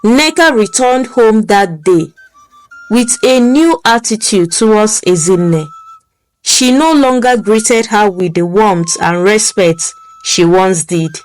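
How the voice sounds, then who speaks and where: A woman narrating a folktale in a slow, deliberate reading voice. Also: medium pitch, faint music behind, poor audio. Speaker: storyteller. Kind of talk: scripted story narration.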